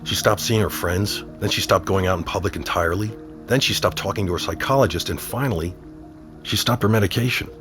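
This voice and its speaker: An actor playing a middle-aged small-town sheriff and father in an audio drama, wry, measured and protective in his talk. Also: low-pitched, music in the background, close to an everyday voice. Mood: grave, gentle. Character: small-town sheriff dad, teasing yet protective and steady. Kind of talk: naturalistic audio drama acting.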